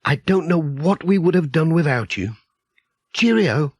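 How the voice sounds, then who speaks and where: A man voicing a friendly, brisk middle-aged village woman in a children's storybook, chatty and reassuring. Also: a little high, expressive, slight background noise, pitched above the performer's own voice. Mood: cheerful, grateful.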